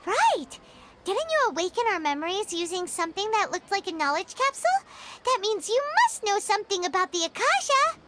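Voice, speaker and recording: A tiny excitable fairy companion from a video game, extremely high and squeaky like a small child. The pitch bounces around in a lively way, over clear background noise. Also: female voice, a little fast. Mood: excited. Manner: speaks of self in third person. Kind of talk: English video game voice acting.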